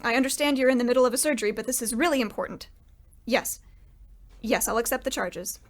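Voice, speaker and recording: A woman's voice: on the high side, fast talker, lightly acted. A woman acting in an old-time radio comedy as a polite, exasperated widow, earnest and straight-faced as she seeks help.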